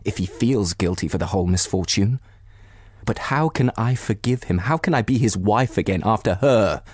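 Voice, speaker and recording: A man voicing a distraught, bitter betrayed wife in a novel, near tears, with anguished, halting and emphatic delivery. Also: fairly low pitch, a little mellow, slightly rough.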